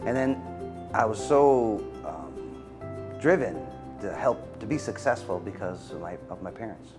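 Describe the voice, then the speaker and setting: Earnest middle-aged male doctor, low voice. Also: lively intonation, over music. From United States. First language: Macedonian. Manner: earnest, halting with fillers. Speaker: physician guest. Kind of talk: interview answers.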